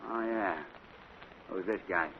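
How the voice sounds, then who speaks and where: A man's voice: sits low, slow. An actor playing a surly, shifty male crook who poses as a prophet, using tough slangy street talk, in 1940s radio acting. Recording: dull scratchy 1940s radio broadcast.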